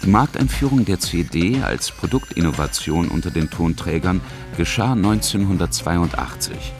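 Deep-voiced male radio feature narrator. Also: expressive, normal speed. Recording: music under the voice. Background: music.

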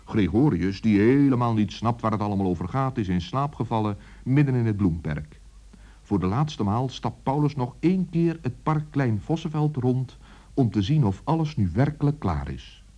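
An actor as the narrator of a children's radio play, telling the tale as scripted storytelling. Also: male voice, medium pitch, expressive, moderate pace, warm tone, lo-fi sound, natural voice. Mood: calm, storytelling.

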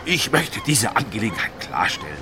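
A man's voice: on the low side, very animated, a little fast, background noise. A male actor playing a fat, stubborn ship's captain with a formal, insistent manner in a radio drama. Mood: stiff, hesitant. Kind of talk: German radio drama acting.

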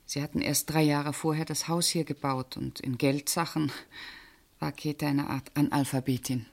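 An actress playing a precise, guarded woman witness answering questions in a German radio crime drama, with controlled delivery. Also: low-pitched.

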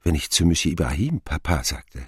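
A man narrating a radio play as an older character wryly recalling his boyhood in a measured storytelling manner. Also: extremely low pitch, very animated, slightly rough, no echo, clean studio sound, exaggerated voice. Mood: tender.

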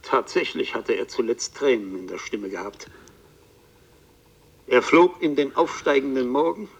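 A man's voice: medium pitch, big pitch swings, a little slow, gravelly, exaggerated voice. An actor playing a rueful bookseller who tells his own story as a radio play narrator, wry and earnest. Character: rueful male bookseller recounting his own strange adventure.

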